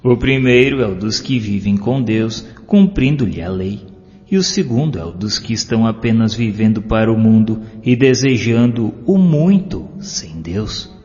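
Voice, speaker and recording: A man with a Brazilian accent narrates a scripted inspirational story in a measured, solemn, literary way. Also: fairly low pitch, expressive, a little mellow. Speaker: audiobook narrator. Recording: dull lo-fi, long reverb, music behind. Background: music.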